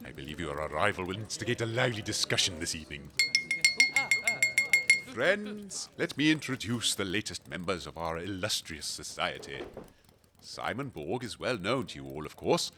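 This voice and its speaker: Pompous gentleman, theatrically swooping delivery. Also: low voice, big pitch swings, moderate pace, very noisy. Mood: grandly hosting. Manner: flowery, formal, theatrical speech. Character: pompous, courtly gentleman host of a cave supper club. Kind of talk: comedic audio drama acting.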